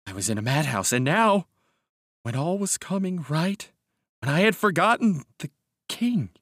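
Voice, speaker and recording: A man's voice: fairly high pitch, very animated, moderate pace, fairly bright tone, no room sound, studio quality, voice pushed up, exaggerated voice. A man voicing a terrified, broken former madhouse inmate in an audiobook story, crying, gasping and pleading desperately. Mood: hysterical pleading. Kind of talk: dramatic audiobook character voice.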